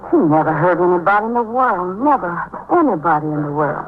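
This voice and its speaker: An actress playing a shaken, grieving maid in a 1950s radio drama, speaking plainly and deferentially and repeating herself in sorrow. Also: female voice, fairly low pitch, expressive, brisk, natural voice. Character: grieving, shaken maid mourning her murdered employer. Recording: muffled, noisy 1950s radio recording.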